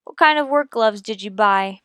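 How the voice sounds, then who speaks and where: An actress playing a cool, persistent police detective in a radio crime drama, questioning in a clipped, deadpan, needling way. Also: female voice, mid-range voice, normal speed, smooth, dry recording, clean studio sound, lightly acted. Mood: dry, needling. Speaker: voice actor in an audio police drama.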